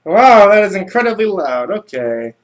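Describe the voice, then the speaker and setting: A man hosting a livestream in casual chat, halting and often repeating his words. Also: high-pitched, big pitch swings. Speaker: livestream host.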